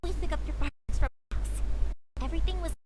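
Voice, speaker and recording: Very high, chatty young fireworks maker. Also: female voice, racing. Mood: friendly. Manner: talkative, animated, rambling. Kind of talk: anime fantasy game voice acting. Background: game music.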